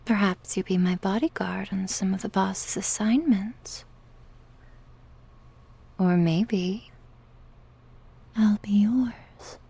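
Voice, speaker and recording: Woman acting a slow, smug syndicate newcomer. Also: medium pitch, creaky, mellow, husky, heavily acted. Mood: smug, teasing. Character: smug, politely teasing female crime syndicate newcomer. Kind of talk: ASMR roleplay acting.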